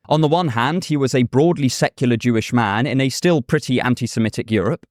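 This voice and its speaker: A man narrating a scripted video essay in a measured, thoughtful way. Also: medium pitch, no room sound, studio quality. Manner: measured, thoughtful, with a casual aside.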